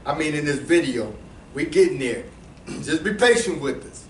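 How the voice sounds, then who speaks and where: A man's voice: on the high side, expressive, normal speed. A man giving a casual, slang-filled talk to a small live audience, often restarting his sentences and speaking to the crowd in asides. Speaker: presenter explaining cryptocurrency to a small audience. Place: room with a small seated audience. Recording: echoey room voice from a distance, faint background hum.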